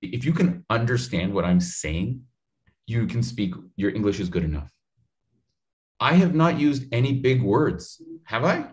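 A man in his thirties giving public speaking advice on a video call, talking in an animated, direct way with lots of gestures, recorded on a studio mic at home. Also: medium pitch, unhurried. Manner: animated, gestures a lot, talks straight to the viewer. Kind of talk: energetic advice in an interview. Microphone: studio mic in frame on a video call.

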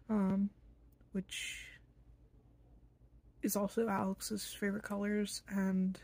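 Slow, husky, irritable young woman. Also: medium pitch, warm tone. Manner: blunt, irritable, slow and halting with many fillers. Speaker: vlogger introducing themselves. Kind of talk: casual self-introduction to camera. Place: small bedroom indoors.

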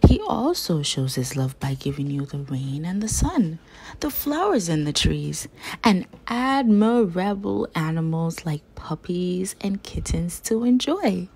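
A woman reads a children's storybook aloud in a lowish, slightly husky voice. Her delivery is unhurried and swoops up and down with emphasis on key words, phrased carefully for young listeners. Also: sing-song, a little mellow. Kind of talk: slow expressive children's book reading.

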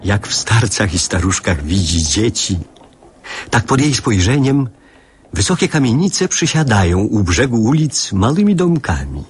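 An actor playing a wistful father narrating his childhood memories in a literary radio play, with a husky voice. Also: lowish voice, big pitch swings, a little slow, heavily acted.

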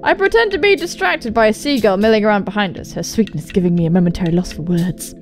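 A woman's voice: medium pitch, quick pace. A young woman hosting a gaming video, reading a visual novel aloud with sweary, jokey asides. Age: young adult. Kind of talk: let's play commentary and visual novel read-aloud. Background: music.

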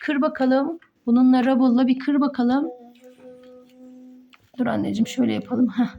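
A young mother with a high voice hosts a toy play video for children. She narrates playfully, with frequent exclamations and questions put to the little viewers. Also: steady tone, moderate pace, creaky, lo-fi sound, faint music behind.

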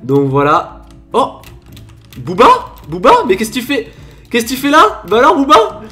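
A man's voice: very high-pitched, huge pitch swings, moderate pace, faint music behind. A man with a stuffy, congested voice giving chatty, playful Minecraft commentary as a gaming video creator. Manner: chatty, playful, bursts into laughing shouts. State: stuffy with a cold. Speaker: gaming YouTuber.

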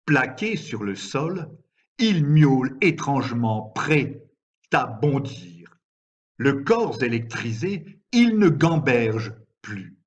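Guy reading a poem, super slow w lots of pauses, bit husky, kinda dull lo-fi recording. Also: medium pitch. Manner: measured, deliberate phrasing with frequent pauses. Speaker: poet reading own work. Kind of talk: expressive poetry recitation.

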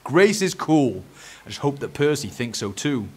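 A British man voicing a cheeky, guilt-ridden young boy in a children's story, excitable and pleading. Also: medium pitch, a little fast, breathy edge, lightly acted. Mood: earnest.

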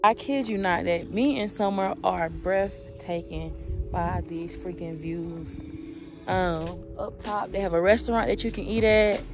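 A woman's voice: on the low side, measured pace. A young woman filming a casual travel vlog on a phone at arm's length, chatting straight to her viewers from a car and outdoors. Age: young adult. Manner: chatty, addresses viewers directly. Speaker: vlogger. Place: car and outdoor mountain spots. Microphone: phone held at arm's length. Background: background music.

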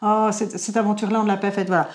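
A woman in her forties from Belgium with a Belgian French accent, co-hosting a casual Q&A vlog in a lively way, often restarting her words. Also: medium pitch, very fast. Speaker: vlog co-host. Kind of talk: casual Q&A chat. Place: covered porch beside a house.

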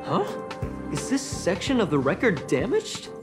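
A woman voicing an earnest, excitable young investigator in a sci-fi video game, expressively thinking aloud. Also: on the low side, unhurried. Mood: curious, analytical. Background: background music.

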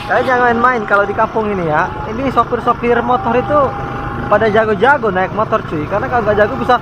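A man's voice: very high voice, fast talker. A young man chatting casually and in slang to his viewers as he vlogs. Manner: slangy, addresses viewers directly. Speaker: motovlogger. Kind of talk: casual vlog chat. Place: outdoors at night, village roads on a motorbike. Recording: noisy outdoor motorbike recording. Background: motorbike engine and street noise.

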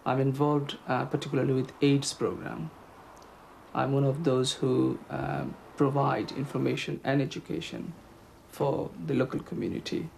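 A middle-aged man from Bangladesh with a Bangladeshi accent, answering interview questions in a measured, hesitant way. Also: medium pitch, steady tone, vocal fry, darkish tone, slight background noise. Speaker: health promotion officer interviewee.

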